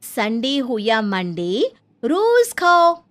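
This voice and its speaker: A woman's voice: on the high side, huge pitch swings, very slow, full character voice. A woman with an Indian accent voicing a stubborn, chirpy young vegetarian daughter-in-law in a cartoon story, theatrical and mixing in English. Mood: playfully firm. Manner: theatrical, mixes English into speech.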